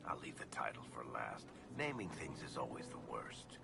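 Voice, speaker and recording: Very deep, gravelly, wry storyteller. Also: male voice, big pitch swings, moderate pace, exaggerated voice. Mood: wry, musing. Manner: wry, conversational. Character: wry, laid-back adventurer and storyteller, male companion. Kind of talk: video game character dialogue. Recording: music under the voice.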